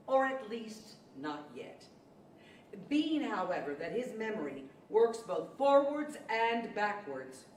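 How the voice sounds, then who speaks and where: A woman announcing in a scripted old-time radio style, theatrical and deliberate, on a stand microphone in a large hall. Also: mid-range voice, recorded from a distance, slight background noise. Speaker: announcer of a live audio theater show. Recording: echoey hall, picked up from afar.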